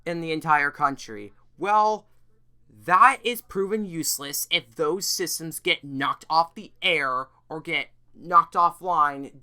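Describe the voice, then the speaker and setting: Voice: mid-range voice, pushed and bright, smooth. An earnest, emphatic YouTuber giving semi-scripted commentary on an issue. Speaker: YouTuber presenting an issue video.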